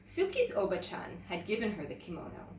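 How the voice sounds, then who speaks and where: A woman teacher reading a children's story aloud in an expressive, animated way, talking directly to young viewers. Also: medium pitch, a little fast. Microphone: camera mic at some distance. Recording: roomy, distant mic with background noise.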